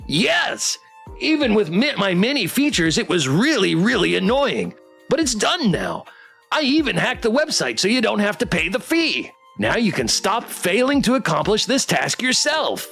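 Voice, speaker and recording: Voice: medium pitch, big pitch swings, normal speed, vocal fry, a bit bright, voice pushed up. Cheeky living plush toy, acted by a streamer, visual novel game, sassy, emphatic, swooping intonation, faint music. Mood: proud, excited. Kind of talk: reading game character dialogue aloud.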